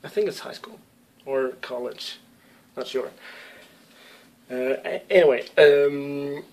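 Slow, hesitant young Danish man. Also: a little high, gravelly. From Denmark. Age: young adult. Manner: hesitant, lots of ums and self-corrections. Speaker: YouTube gamer. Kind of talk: casual webcam Q&A vlog. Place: small room at home, indoors.

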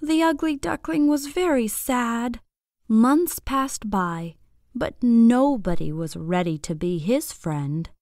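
A woman narrating a scripted bedtime story for young children in an expressive, deliberate, clearly phrased way. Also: a little high, no room sound, studio quality.

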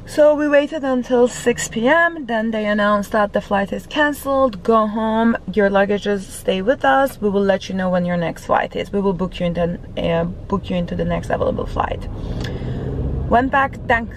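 A young woman with a slightly raspy, tired voice, chatting casually to a dashboard camera in a parked car, rambling with lots of fillers. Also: medium pitch, normal speed, slight background noise, rough recording. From Los Angeles area. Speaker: vlogger. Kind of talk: casual vlog chat.

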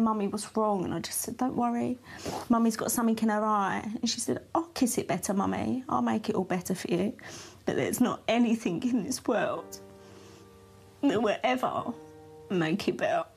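A woman's voice: a little high, normal speed, gravelly, a little mellow, breathy edge, faint music behind. A woman, the victim's sister, recalling the news emotionally in an interview. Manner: emotional, quoted dialogue, rising voice. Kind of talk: interview recollection.

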